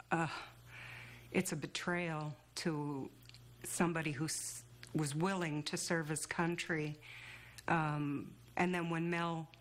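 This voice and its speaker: A woman giving a halting interview answer. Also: low voice. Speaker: interviewee, veteran's widow.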